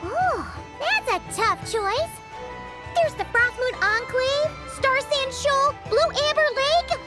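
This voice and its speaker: An actress voicing a tiny excitable floating girl companion who speaks of herself in the third person, in a video game. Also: extremely high pitch, slow. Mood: excited. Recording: game audio with loud music. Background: game music.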